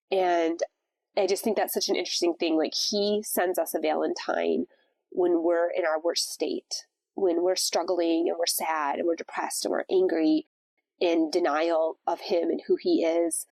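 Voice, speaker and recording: A woman's voice: lowish voice, a little mellow, dry recording. Congested woman hosting a faith podcast. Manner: conversational, reflective. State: congested from a cold. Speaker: podcast host. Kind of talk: casual devotional talk.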